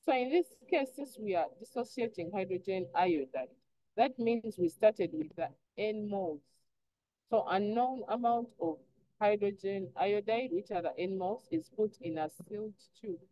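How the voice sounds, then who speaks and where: A woman's voice: medium pitch, lively intonation, normal speed, dark tone. A woman teacher explaining step by step in an online lesson, instructive, often posing prompting questions and answering them herself. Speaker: chemistry teacher. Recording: dull lo-fi online class audio.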